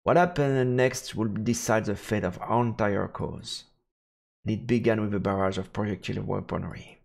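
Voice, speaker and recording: A man's voice: fairly low pitch, steady tone, moderate pace, creaky. A man narrating a fantasy visual novel aloud as a streamer, in a dramatic storybook style. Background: faint game music.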